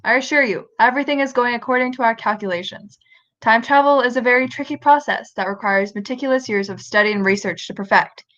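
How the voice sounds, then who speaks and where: A woman's voice: fairly high pitch, quick pace, fairly bright tone, highs cut off, close to an everyday voice. A woman acting a driven, defensive scientist in an amateur radio play, insistent and formal.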